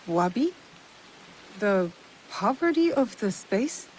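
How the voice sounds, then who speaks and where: Game role: earnest female mercenary, mid-pitched, reserved, plain-spoken, feudal Japan. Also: big pitch swings, slow, darkish tone, a little hiss or hum, rough recording.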